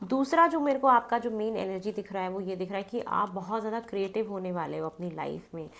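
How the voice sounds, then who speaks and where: A woman's voice: mid-range voice, fast talker, gravelly, bright, forceful tone. A woman with a cold and a blocked nose, giving a casual tarot reading to the camera. She talks in a chatty way, repeats her phrases and chants between the cards. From North India. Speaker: tarot card reader.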